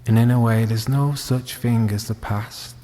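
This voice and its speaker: A man leading a guided meditation, speaking gently and leaving long pauses. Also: medium pitch, fairly even intonation. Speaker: meditation teacher. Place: reverberant room at a wellness centre. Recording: long hall-like reverb.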